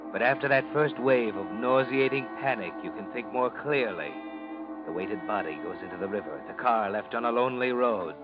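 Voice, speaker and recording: A man's voice: mid-range voice, moderate pace, natural voice. An actor playing a sinister, taunting narrator in a 1940s radio mystery, insinuating as he addresses a guilty man. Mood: taunting, ominous. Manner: insinuating, mocking, addressing the character as you. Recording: muffled old radio broadcast, organ-style music. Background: loud dramatic music.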